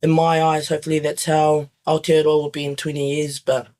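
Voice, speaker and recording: A young man from New Zealand giving sincere, measured interview answers with some hesitations. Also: a little high, completely flat delivery, darkish tone. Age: young adult. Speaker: youth guest.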